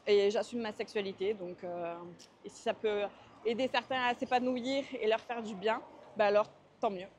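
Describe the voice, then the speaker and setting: A woman's voice: medium pitch, steady tone, normal speed, slight background noise. A woman in her twenties from Marseille with a Marseille accent, answering interview questions while walking, hesitant with many fillers. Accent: Marseille French. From France. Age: 20s.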